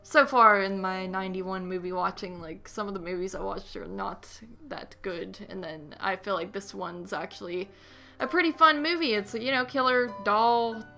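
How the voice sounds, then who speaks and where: A woman's voice: mid-range voice, moderate pace, gravelly, sharp tone, soft background music. A woman co-hosting a movie podcast, chatting casually and informally with somewhat hesitant phrasing.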